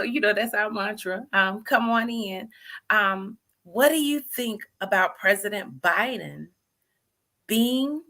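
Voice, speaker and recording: A middle-aged woman, hosting a lively, chatty livestream interview and playing to her viewers. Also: on the high side. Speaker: host.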